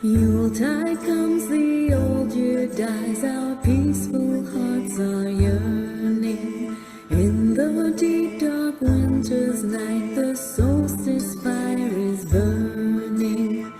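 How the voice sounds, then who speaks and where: Slow, high-voiced woman singing a carol. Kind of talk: slow folk carol singing over music. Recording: roomy echo, prominent music accompaniment. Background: musical accompaniment.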